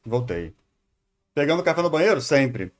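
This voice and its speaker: A man's voice: mid-range voice, sing-song, normal speed. A man with a Brazilian accent giving casual live reaction commentary as a streamer.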